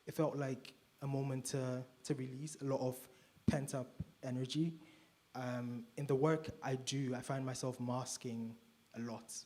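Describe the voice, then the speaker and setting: A man from Ghana speaking on a panel in a measured, reflective way. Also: mid-range voice, flat delivery, darkish tone.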